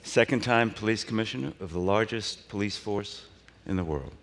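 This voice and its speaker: A man's voice: lowish voice, moderate pace, a bit bright, in a large hall. A man interviewing a guest, asking questions with hesitant restarts.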